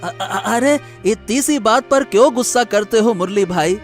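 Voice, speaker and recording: A man's voice: sits high, unhurried, soft background music. A man playing a poor, friendly farmer in a cartoon moral story, meek and hesitant as he placates. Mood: pleading.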